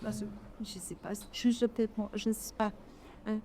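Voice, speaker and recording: A woman speaks off the cuff from the audience at a literary gathering. Her voice is soft-edged and mellow, at an average pitch, and she hesitates and repeats words while building her thoughts. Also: flat delivery, normal speed. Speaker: audience member, volunteer reader for audio library. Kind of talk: impromptu audience remarks at a literary event. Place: live literary café event.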